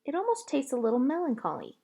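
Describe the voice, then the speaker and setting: Storybook preacher dad, played by a female reader: pensive, measured, withdrawn, gently firm. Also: high voice, huge pitch swings, gravelly, pitched above the performer's own voice, exaggerated voice. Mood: pensive, sad.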